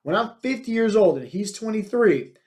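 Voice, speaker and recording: A man's voice: high-pitched, normal speed. A man in his thirties, a fitness coach hosting his own podcast, talking bluntly and with many fillers in a motivational monologue. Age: 30s. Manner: energetic, filler-heavy, many "like" and "right?".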